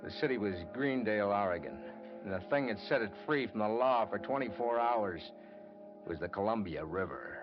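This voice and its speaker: An American actor playing a bitter, brooding newspaper reporter in an old-time radio noir drama, with a dramatic, clipped delivery. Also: male voice, lowish voice, lively intonation, moderate pace, natural voice. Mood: brooding narration. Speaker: radio drama lead and narrator. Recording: dull old radio recording with music.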